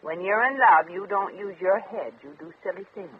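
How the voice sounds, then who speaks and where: A woman's voice: mid-range voice, moderate pace, close to an everyday voice. Woman acting a breezy wisecracking dame, tinny radio. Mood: knowing, coaxing. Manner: flip, streetwise, casual. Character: breezy, wisecracking, worldly woman fortune teller and drifter. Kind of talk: 1950s radio comedy acting. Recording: crackly, tinny old radio recording.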